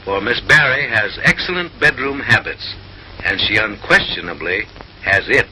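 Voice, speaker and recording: Male narrator, low-pitched, very bright forceful tone, mock-refined theatrical comic delivery, vintage newsreel style, slightly noisy old film soundtrack. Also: expressive, moderate pace.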